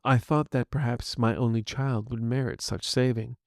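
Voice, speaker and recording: A man's voice: medium pitch, steady tone, vocal fry, darkish tone, no room sound, studio quality, close to an everyday voice. Man voicing a scornful, proud aging wizard villain. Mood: stern, proud. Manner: formal, measured, scornful speech. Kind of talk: dramatic fantasy storytelling.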